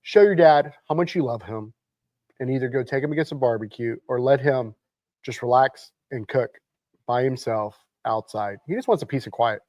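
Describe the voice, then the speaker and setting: A man's voice: medium pitch, normal speed, a little mellow, no echo, dull audio. A man hosting a morning talk show, chatting casually and animatedly. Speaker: show host. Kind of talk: casual on-air chat.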